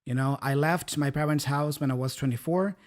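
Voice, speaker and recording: A man with a Brazilian Portuguese accent co-hosting an English-learning podcast, his voice sitting a little higher than most men's. He talks in a friendly, relaxed way and often tags on a quick "right?" to check in. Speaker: English teacher and podcast co-host. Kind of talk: casual teaching chat.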